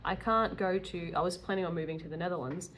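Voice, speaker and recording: A woman's voice: lowish voice, fast, a bit bright, a little hiss or hum. A woman in her early thirties talking casually and candidly in a rambling, chatty vlog style, with self-deprecating asides. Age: early 30s. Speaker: vlogger, self-employed dog groomer.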